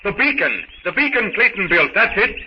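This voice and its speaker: A man's voice: high-pitched, big pitch swings, a little fast. A man narrating a jungle adventure serial in an earnest, dramatic 1930s radio style on a muffled, hissy recording. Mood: earnest, suspenseful.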